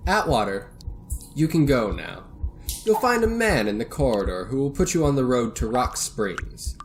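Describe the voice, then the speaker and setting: An actor playing a cold, commanding villain in an old-time radio thriller, speaking deliberately. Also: male voice, medium pitch, very animated, slight background noise. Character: cold, menacing male villain holding captives at gunpoint. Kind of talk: 1930s-style radio thriller acting.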